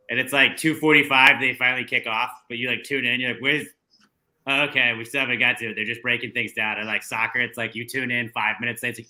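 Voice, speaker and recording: A young man with a very bright, sharp voice co-hosts a loose sports watchalong. He rambles through his points with constant "like" and "dude", swearing casually. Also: medium pitch. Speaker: podcast co-host.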